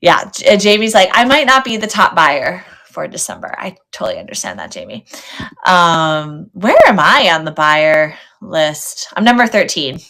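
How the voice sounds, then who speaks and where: A woman's voice: fairly low pitch, very animated, normal speed, fairly bright tone. A woman hosting a casual live sale stream, chatting with viewers and thinking aloud as she goes. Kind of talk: casual livestream chat with viewers.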